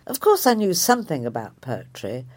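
A woman, a writer reading a reflective personal essay in a measured, thoughtful way. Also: low voice, wildly swooping pitch, a little mellow. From Liverpool area, England.

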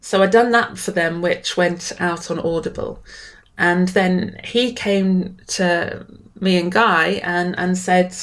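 A woman writer talking about her work in an interview, warm and excitable, laughing often and sometimes repeating her words. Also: on the low side, slow. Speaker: writer guest. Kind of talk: casual interview conversation.

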